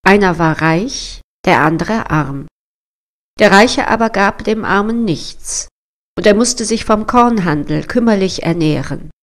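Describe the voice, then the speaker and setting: A woman reads a fairy tale as a storyteller. Her voice sits at a middle pitch, and she takes her time, with a measured, expressive storybook delivery. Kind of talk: scripted fairy-tale narration.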